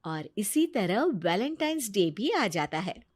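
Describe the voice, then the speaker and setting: A woman's voice: medium pitch, very animated, unhurried, breathy edge, no echo, clean studio sound. A woman reading scripted narration for a cartoon moral story.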